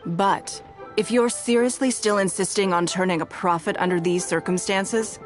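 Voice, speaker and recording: A woman playing a composed, shrewd and stern wealthy noblewoman in a video game, speaking in a measured, formal, authoritative way. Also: medium pitch, steady tone, faint music behind, close to an everyday voice. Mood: firm, admonishing.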